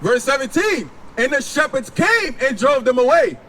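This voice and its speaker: A man with a very high voice reads scripture aloud slowly and carefully. His tone is bright and sharp, and his pitch moves a lot. Faint street noise runs behind him. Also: big pitch swings. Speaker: scripture reader. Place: city street outdoors.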